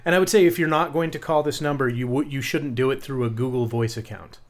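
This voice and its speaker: A man's voice: medium pitch, fast. A man co-hosting a podcast in casual chat, talking in a sarcastic, profane, ranting way. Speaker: podcast co-host.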